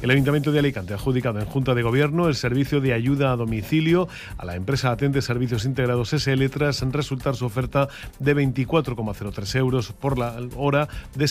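A male radio news anchor with a mid-range voice, reading the bulletin in a measured, formal newsreader style. He moves steadily from one item to the next and keeps a composed, businesslike tone throughout. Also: mid-range voice, a little mellow.

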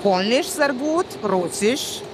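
A woman whose first language is Romanian, speaking German in a slow, deliberate way. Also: on the high side, expressive, background noise. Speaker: train cleaner. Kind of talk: interview clip.

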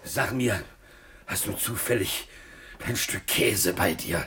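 An actor playing a wild, lonely marooned ex-pirate sailor in a radio play, halting and eager. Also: male voice, fairly low pitch, sharp tone, a little hiss or hum. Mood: wistful. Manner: halting, wistful, eager, with sudden urgency. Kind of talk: German-language radio play acting.

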